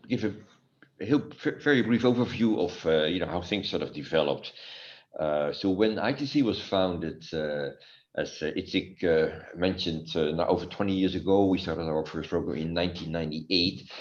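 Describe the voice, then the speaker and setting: A man's voice: low voice, expressive, moderate pace. A man giving a brief overview on a video call, hesitant with frequent fillers and restarts. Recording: dull lo-fi call audio.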